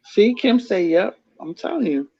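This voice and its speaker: A playful, insistent middle-aged woman with a Bronx New York accent chats casually as co-host and laughs often. Her voice sits at a middling pitch and comes through dull, lo-fi call audio. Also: expressive, normal speed, creaky, dark tone, husky. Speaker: co-host of a live chat show. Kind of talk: casual chat.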